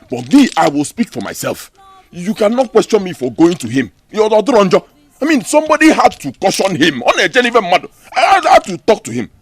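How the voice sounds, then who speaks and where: Young prince in love, pleading, hugely swooping pitch, soft to loud. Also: male voice, slightly rough, no room sound. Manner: pleading, swings between hush and force.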